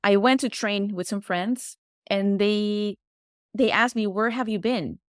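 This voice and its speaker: Woman with a Spanish first language, smooth clear voice. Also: medium pitch, measured pace, no room sound, studio quality. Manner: searches for words, restarts. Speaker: podcast guest. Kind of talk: casual interview chat.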